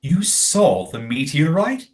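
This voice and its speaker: A man's voice: fairly high pitch, big pitch swings, slow, a little mellow. An actor playing a righteous, well-spoken man in an audio play, formal and emphatic. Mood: earnest storytelling. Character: righteous, well-spoken man guarding his community's history.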